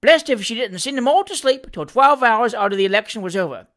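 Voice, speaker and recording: Breezy Cockney servant for a storybook, played by a man, cheeky and emphatic, with lively swings in pitch. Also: a little fast. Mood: breezy, amused. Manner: emphatic, colloquial.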